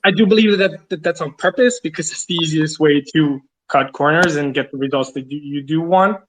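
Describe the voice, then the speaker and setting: A man's voice: on the high side, fairly quick, slight background noise, rough recording. A man from Serbia hosting a casual online audio chat in a conversational, opinionated way. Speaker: host.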